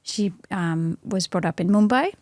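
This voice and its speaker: A woman's voice: medium pitch, unhurried, darkish tone, dry recording. Thoughtful Australian woman, dry close mic. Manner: thoughtful, hesitant, many fillers and restarts. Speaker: interview guest, singer. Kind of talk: casual conversational interview.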